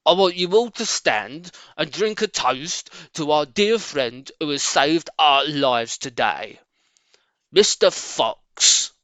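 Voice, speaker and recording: A man's voice: on the high side, lively intonation, takes it slowly, fairly bright tone, no room sound. A man voicing a hearty, loyal male badger in a storybook, with an emphatic delivery.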